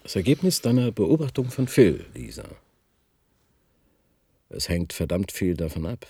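Curt, husky, very deep-voiced questioner. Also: male voice, big pitch swings, a little slow, dark tone, dry recording, exaggerated voice. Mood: probing. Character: pressing, curt man questioning an expert, offering sweets. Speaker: actor in a radio play. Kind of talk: German radio drama acting.

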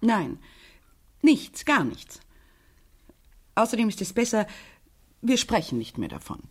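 A sorrowful, guarded widow with a soft, breathy voice at a middling pitch. She talks gently and hesitantly, with expressive rises and falls, in radio drama style. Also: unhurried. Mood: reluctant. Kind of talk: German radio crime drama acting.

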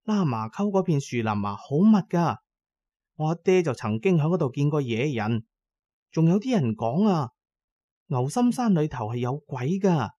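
A man's voice: a little high, sing-song, moderate pace, mellow, no room sound, highs cut off. A young village woman played by a male narrator shares eerie local lore in an audio drama. The delivery is folksy. The recording is close and dry.

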